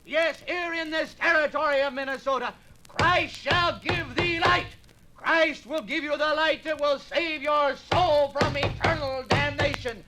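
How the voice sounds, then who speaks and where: An actor playing a fiery frontier revival preacher in a 1950s radio drama, declaiming with impassioned force. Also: male voice, extremely high pitch, a little slow, rough recording. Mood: fervent. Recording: old radio record with loud music.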